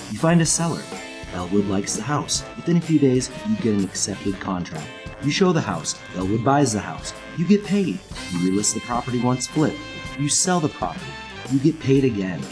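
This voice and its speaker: A man reading a scripted ad voice-over with a punchy, lively delivery. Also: on the low side. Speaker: ad narrator. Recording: clear guitar music underneath.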